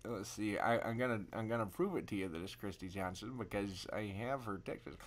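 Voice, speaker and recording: A man's voice: fairly low pitch, a little fast. A man in his thirties improvising a casual prank call, talking in a rambling, hesitant way as if awkward. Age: 30s. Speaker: prank caller and livestream host.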